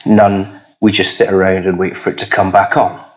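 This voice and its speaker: A man with a husky, slightly hoarse voice, a podcast guest chatting casually, hesitant and full of fillers. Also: flat delivery, normal speed. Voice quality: husky, lower than usual. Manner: rambling, hesitant with many fillers. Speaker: podcast guest, comics critic.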